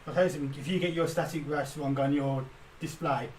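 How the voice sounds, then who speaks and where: A playful man with a slightly high voice, teasing his co-host during a casual livestream chat. A faint hiss of room noise sits under his voice. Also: steady tone, normal speed, echoey room, far from the mic, rough recording.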